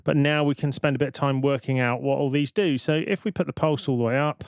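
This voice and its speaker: A man's voice: mid-range voice, fast, smooth, dry recording, clean studio sound. A man presenting a tutorial in an informal, explanatory way, thinking aloud as he goes. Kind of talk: informal software tutorial narration.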